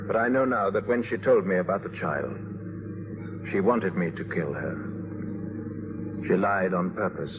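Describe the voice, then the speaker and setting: A man acting a brooding, haunted gentleman husband in an early 1950s radio drama, clipped and intense. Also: sits low, unhurried, long pauses. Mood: haunted, confessional. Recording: noisy, tinny 1950s radio recording.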